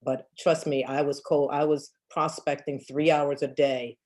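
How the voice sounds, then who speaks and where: A woman's voice: sits low, flat delivery, measured pace, darkish tone. A woman in her fifties, a real estate agent answering questions in an emphatic storytelling way with frequent laughs. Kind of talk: casual storytelling Q&A.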